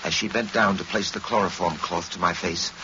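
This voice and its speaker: An actor playing a grimly determined hard-boiled detective, narrating tersely and dramatically in an old-time radio noir. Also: male voice, low-pitched, steady tone, brisk, bright, forceful tone. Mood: tense, driving. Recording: very noisy, muffled vintage radio recording.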